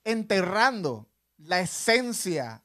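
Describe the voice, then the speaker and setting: A man's voice: sits high, very animated, slow, fairly bright tone. A man, asking questions as the host of a casual, conversational interview.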